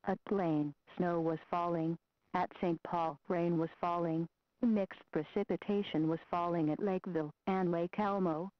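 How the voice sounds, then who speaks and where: A female weather radio voice listing current conditions in a flat, even way. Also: on the low side, normal speed, no echo. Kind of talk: automated weather observations readout. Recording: dry, lo-fi radio recording.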